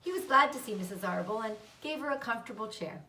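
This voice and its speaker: A woman reads a children's story aloud in a fairly high voice. She is recorded a little way from the microphone, with clear room echo around her narration. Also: lively intonation, fast talker, sharp tone. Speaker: storybook reader. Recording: roomy echo, distant microphone.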